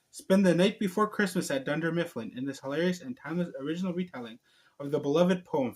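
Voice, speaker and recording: Man, fairly high voice, playful, giggly, storybook read-aloud, casual host chat. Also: slightly rough. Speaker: host reading a storybook to viewers.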